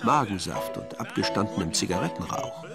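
Gravelly male radio-play narrator over music. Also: lowish voice, very animated, fairly quick. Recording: music behind the voice.

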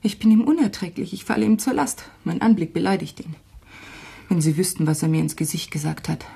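A desperate young woman forsaken by her lover for a radio play. Her voice is husky and creaky with a dark, muted tone, and she pleads in short, anguished phrases. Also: lowish voice, lively intonation, exaggerated voice. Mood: wounded despair. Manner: anguished, halting, dramatic delivery in short phrases. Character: desperate, abandoned young French mistress pleading with a friend. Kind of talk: German radio play acting.

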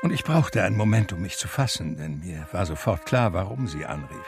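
An actor playing a reflective man who tells his life story in measured literary radio drama narration. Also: deep voice, animated, vocal fry. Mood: sober, reflective. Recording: music under the voice. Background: music.

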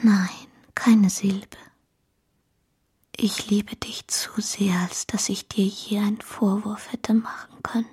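An actress playing a tender, weak, childlike young wife on her deathbed in a radio drama, with a faint, halting, breathy whisper. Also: medium pitch, little pitch movement. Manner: faint, gentle, halting delivery.

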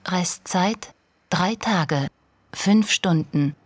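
Detached announcer voice in a radio play, lowish, slow, deliberate clipped fragments. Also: female voice, slightly rough, no room sound, full character voice. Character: impersonal female voice reading auction status like an announcer.

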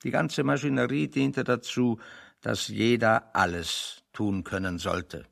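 An actor playing a weary, eloquent refugee intellectual in a radio play, speaking in a deliberate, rhetorical and dryly ironic way. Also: male voice, mid-range voice, fairly even intonation, gravelly, fairly bright tone, full character voice. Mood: dry, indignant. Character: weary, eloquent male refugee intellectual, sick of being virtuous. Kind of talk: 1970 German radio play dialogue.

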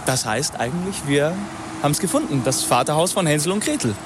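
An actor in a radio drama playing an eccentric, enthusiastic fairy-tale archaeologist, chatty and self-assured with questioning tags. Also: male voice, fairly high pitch, expressive, a little fast, lightly acted. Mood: enthusiastic, explaining. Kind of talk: naturalistic radio drama interview. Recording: noisy outdoor field recording.

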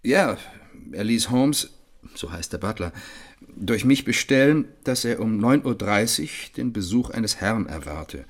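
Radio crime drama: dutiful private secretary, slightly low register, a little husky, restrained and matter-of-fact. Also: male voice, expressive, measured pace. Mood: reserved, dutiful. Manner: correct, factual.

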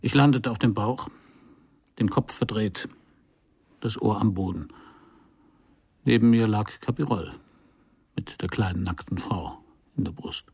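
An actor playing a wry private detective who narrates his case in a dry, deliberate, sardonic way for a radio crime play. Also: lowish voice, gravelly, darkish tone, slightly rough, exaggerated voice. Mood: dry, tense. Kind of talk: scripted first-person noir radio play narration.

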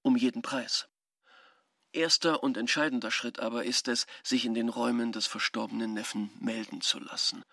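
Sharp, raspy scheming inner voice. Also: male voice, medium pitch, fairly even intonation, moderate pace, dry recording, clean studio sound. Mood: scheming, determined. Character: scheming, wary male visitor's inner voice. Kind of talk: German radio drama acting.

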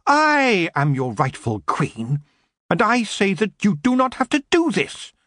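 A man's voice: high-pitched, huge pitch swings, fairly bright tone, no echo, clean studio sound, voice pushed up, exaggerated voice. A man doing a proud elf queen. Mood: imploring. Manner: slow, commanding, pleading.

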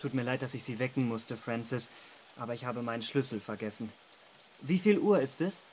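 An actor playing an elegant young gentleman in a German radio drama, commanding and coldly urgent in a polite, theatrical manner. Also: medium pitch, brisk, a little hiss or hum, natural voice. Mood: curt, preoccupied.

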